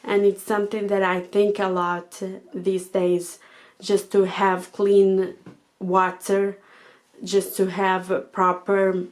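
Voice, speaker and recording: A Portuguese woman speaking English as a second language, thinking aloud to her channel with many pauses and fillers. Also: mid-range voice, a little mellow. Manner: thoughtful, hesitant, with frequent fillers. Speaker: channel host. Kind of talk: reflective monologue to camera.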